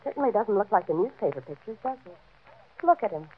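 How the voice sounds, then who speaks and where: A tinny, narrow-band old radio recording carries an actress as a hospital nurse in a 1940s drama. She is a compassionate woman with a medium-pitched voice. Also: lively intonation, fast, slight background noise, natural voice. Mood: pitying, astonished.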